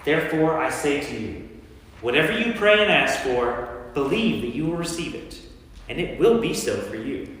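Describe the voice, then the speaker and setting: A man playing a commanding teacher and healer in a staged Bible reading, speaking with deliberate authority. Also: mid-range voice, fairly bright tone, close to an everyday voice. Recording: very echoey church hall.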